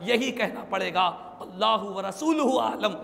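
A man's voice: high-pitched, animated, measured pace, vocal fry, a bit bright, in a large hall. A man, delivering an emphatic, declamatory religious sermon with Arabic quotations. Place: small indoor prayer hall, seated before an audience. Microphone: stand microphone and clipped lapel mic.

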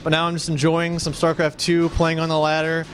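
A man's voice: on the high side, completely flat delivery, fairly quick, a bit bright, slight background noise. A young man from Canada, an easygoing guest at a gamer roundtable, speaking into a handheld mic. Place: small restaurant.

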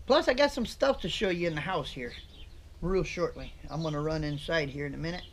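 Middle-aged American man, raspy fry, a touch higher voice, laid-back vlogger. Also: fairly quick, slight background noise. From USA. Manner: folksy, relaxed, chatty. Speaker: trucker vlogger. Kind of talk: casual vlog chat. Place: in a parked vehicle, on a home porch outdoors. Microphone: camera mic close up.

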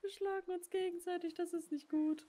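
A woman's voice: extremely high pitch, steady tone, fairly quick, warm tone. A woman in her thirties chatting casually in a game roleplay, swearing lightly and laughing often. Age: 30s.

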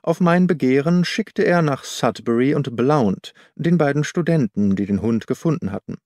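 A man reading literary audiobook narration in a measured, carefully articulated storytelling manner. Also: medium pitch, lively intonation, darkish tone, no echo, clean studio sound. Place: dry studio.

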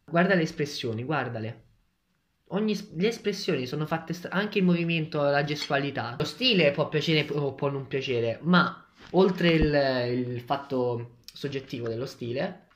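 A man's voice: fairly high pitch, moderate pace. Opinionated young man in an echoey kitchen. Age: 20s. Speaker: book reviewer. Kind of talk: casual discussion of a graphic novel. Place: small tiled kitchen, indoors. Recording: echoey room, camera at a distance.

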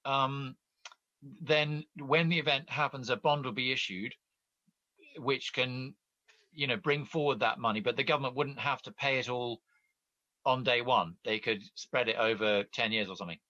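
Bright-voiced man hosting a virtual forum. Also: medium pitch, moderate pace, no echo, lo-fi sound. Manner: polite, hesitant with many fillers. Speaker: editor and interviewer, host. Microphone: video call.

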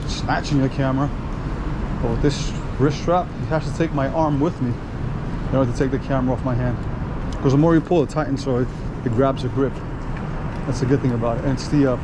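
A man's voice: medium pitch, fairly even intonation, brisk, pauses often. A man from Queens, chatting casually to the camera while doing street photography outdoors. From New York, Queens. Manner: chatty, rambling, thinking aloud. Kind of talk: casual walking commentary. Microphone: body-worn camera. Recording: loud street traffic noise. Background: city traffic.

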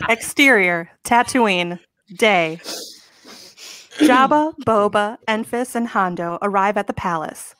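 A woman narrating the stage directions of a comic table read in a straight-faced, clearly enunciated way. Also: medium pitch, takes it slowly, a bit bright.

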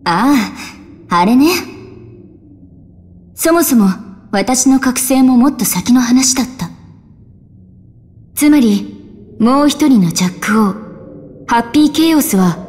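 A woman's voice: on the high side, animated, slow, pauses often, a little mellow. An actress voicing a cool, enigmatic young woman in a video game, rational and detached in manner. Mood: calm, detached. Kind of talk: Japanese video game voice acting. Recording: long reverberant hall echo.

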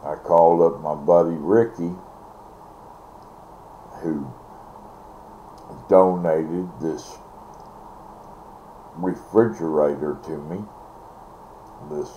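An older man chatting casually and ramblingly, halting and repeating words, recorded on a device mic in a bedroom. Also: extremely low pitch, mellow. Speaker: vlogger. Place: small bedroom, indoors. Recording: dull, noisy webcam audio.

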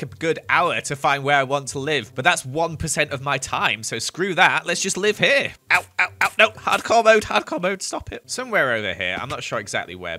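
Lively chatty man gaming. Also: medium pitch. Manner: chatty, jokey, excitable outbursts. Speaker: gaming youtuber. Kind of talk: playthrough commentary.

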